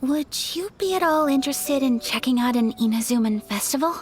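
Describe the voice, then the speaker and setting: A refined young noblewoman, played by a woman, speaking softly and politely with a touch of hesitance. Her voice sits high and light. Faint game music hums underneath. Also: a little fast, lightly acted. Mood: earnest. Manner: soft-spoken, polite, hesitant. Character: gentle, refined young noblewoman, shy and earnest. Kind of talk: video game cutscene acting.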